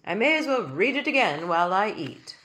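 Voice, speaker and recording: A woman voicing a tiny, pompous, elderly male doll king who talks slowly, loudly and with stately gloom. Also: mid-range voice, very animated, slight background noise, poor audio. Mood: mournful. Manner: slow, loud, stately and mournful.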